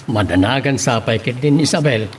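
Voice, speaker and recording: A man playing a steady, caring middle-aged village father in a radio drama, with a Filipino accent. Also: mid-range voice, moderate pace, close to an everyday voice. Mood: reassuring. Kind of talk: Ilocano radio drama acting. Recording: faint background noise.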